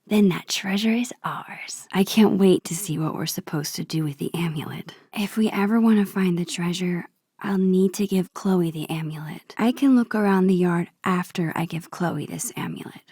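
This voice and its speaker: A woman playing a shy, wistful teenage girl in a video game, musing to herself in a soft, whispery voice. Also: on the low side, moderate pace, breathy edge, no echo, clean studio sound. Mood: fond, then thoughtful. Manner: gentle, musing, talking to herself. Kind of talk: introspective game character monologue.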